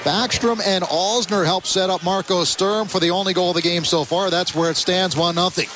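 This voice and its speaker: High-pitched male hockey play-by-play announcer, North American. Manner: energetic, clipped rapid-fire phrasing. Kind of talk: live sports commentary. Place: broadcast booth in a hockey arena. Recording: loud arena noise behind. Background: loud arena ambience.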